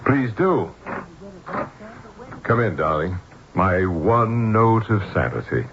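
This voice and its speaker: Radio drama lead: jumpy American businessman, lowish pitch, on a hissy, dull vintage recording. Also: animated, slow, heavily acted. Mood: edgy, strained. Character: jumpy, brisk American businessman, a husband under strain. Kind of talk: old-time radio drama acting. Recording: muffled, noisy old radio broadcast.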